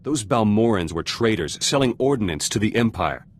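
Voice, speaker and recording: An actor playing a gruff, guarded revolutionary turned politician in a video game, speaking in blunt, clipped fragments. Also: male voice, on the low side, expressive, moderate pace, slight background noise, close to an everyday voice. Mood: grim, bitter. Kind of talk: video game character dialogue.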